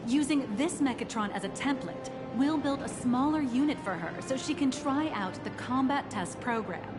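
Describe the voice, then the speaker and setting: An actress playing a polite, enthusiastic tour guide in a video game, explaining things in a formal, scripted way. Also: female voice, high voice, animated, moderate pace. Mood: upbeat, welcoming. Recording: music behind the voice.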